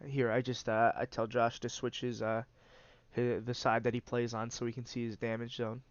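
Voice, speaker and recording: A man's voice: medium pitch, little pitch movement, moderate pace, no room sound, lo-fi sound. A man with a congested, stuffy nose hosting and giving casual, rambling play-by-play commentary on a card game. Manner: rambling, many "uh" fillers, restarts. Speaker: host and commentator.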